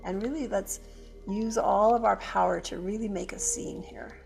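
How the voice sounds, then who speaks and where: A woman leading a guided meditation as a yoga teacher, speaking gently and unhurriedly with pauses between phrases. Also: mid-range voice, fairly even intonation, vocal fry, soft background music.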